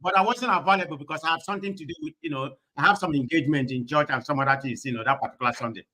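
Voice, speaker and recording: A man's voice: a little high, racing, sharp tone. A man on a call-in broadcast who talks bluntly and rambles, filling his speech with "you know". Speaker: caller on a live broadcast. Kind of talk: heated call-in debate.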